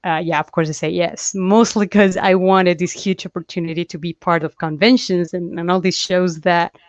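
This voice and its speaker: A young woman from Chile, a comic artist chatting as an interview guest, talking freely with halting restarts and easy laughs. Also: on the low side, steady tone, dry recording. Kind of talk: casual interview chat. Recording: dull, dry lo-fi call audio.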